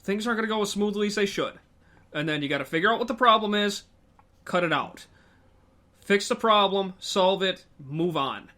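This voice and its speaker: Bright high-voiced man rambling casually. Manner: rambling, filler-heavy, swears. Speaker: co-host. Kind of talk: casual podcast discussion.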